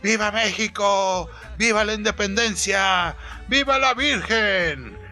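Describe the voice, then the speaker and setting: A man's voice: high voice, faint music behind, voice pushed up, heavily acted. A man voicing a defiant rebel priest who declaims to rally his people in a radio story. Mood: fervent, rousing. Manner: declamatory, slow drawn-out shouting.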